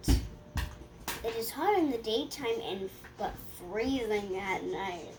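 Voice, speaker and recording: A young girl reading a rhyming picture book aloud in a halting way, stumbling and correcting herself. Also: mid-range voice, expressive, heavy background noise. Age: child.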